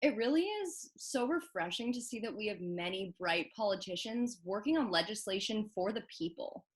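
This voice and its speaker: A woman's voice: medium pitch, lively intonation, moderate pace, close to an everyday voice. A woman playing an earnest, easily impressed news viewer in scripted radio play dialogue.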